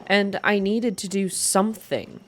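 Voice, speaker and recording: A woman's voice: mid-range voice, fairly even intonation, a little slow, slight background noise, pitched above the performer's own voice, close to an everyday voice. A woman voicing an earnest, restless young woman activist in a story reading.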